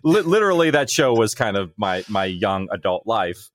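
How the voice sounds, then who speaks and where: A man's voice: fairly low pitch, very animated, moderate pace, fairly bright tone. A man talking casually as a guest creator in a remote podcast interview. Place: remote call from home.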